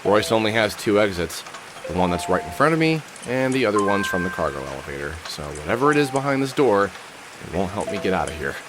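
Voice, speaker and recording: A world-weary middle-aged man mutters his way through a video game monologue. His voice sits fairly low but moves around a lot, with a dry, sardonic edge. Music plays clearly underneath him. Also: very animated, fast. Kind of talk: scripted game character monologue, thinking aloud.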